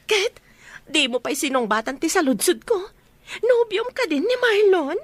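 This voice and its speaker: An actress playing a nosy, excitable young best friend in a radio drama, teasing, with an Ilocano accent. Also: female voice, extremely high pitch, slow, dry recording, exaggerated voice. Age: young adult.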